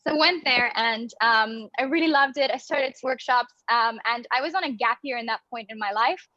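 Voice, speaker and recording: Bright high-voiced chatty woman on a call. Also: normal speed, dry recording. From Belgium. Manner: bubbly, chatty, laughs between phrases. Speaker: guest, improv theater artistic director. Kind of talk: casual interview chat. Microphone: video call. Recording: dull video call audio.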